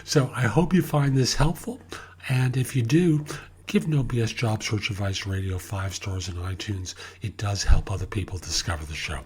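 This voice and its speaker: A man hosting an advice show in an informal, conversational coaching manner, with a husky, slightly rough voice. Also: on the low side, normal speed, darkish tone. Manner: informal, emphatic coaching, acts out sample questions. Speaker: host of a job search advice show.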